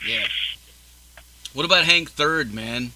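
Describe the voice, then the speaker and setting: A man's voice: mid-range voice, expressive, takes it slowly, pushed and bright, poor audio. A man in his early forties hosting a casual interview, easygoing and swearing freely as he chats. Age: 40s. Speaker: podcast host.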